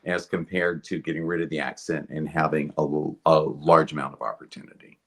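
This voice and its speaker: A man from Kentucky with an American accent, talking casually and thoughtfully as an interview guest in a measured way. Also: a bass voice, little pitch movement.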